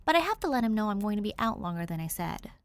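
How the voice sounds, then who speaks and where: A defensive, grumbling young girl, voiced by a woman narrator. Her tone swings widely even while she mutters, and the recording is dry and close. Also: mid-range voice, quick pace, no room sound, voice pushed up. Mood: reluctant. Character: young clone girl, gruff, tomboyish.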